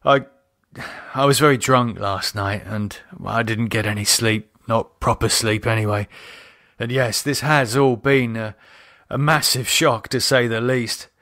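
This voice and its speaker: A man voicing a shaken, exhausted man in an audiobook horror drama, halting and hesitant, with sarcasm and fear. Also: mid-range voice, a bit bright, dry recording, clean studio sound. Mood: weary, hesitant.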